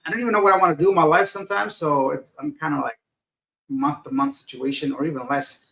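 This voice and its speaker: A middle-aged man with a slightly raised pitch chats casually on a livestream, reading comments and answering them. He rambles and loses his thread, poking fun at his own foggy, tired state. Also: steady tone, fast talker, vocal fry, sharp tone. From Afghanistan. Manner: rambling, loses his train of thought, self-deprecating. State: tired and unfocused. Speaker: livestream host reading chat. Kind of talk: casual live chat.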